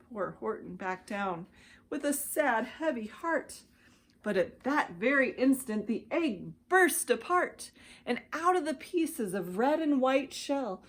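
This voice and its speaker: Slow, animated American woman reading a storybook. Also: high voice. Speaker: children's ministry director reading stories. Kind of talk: expressive children's storybook narration.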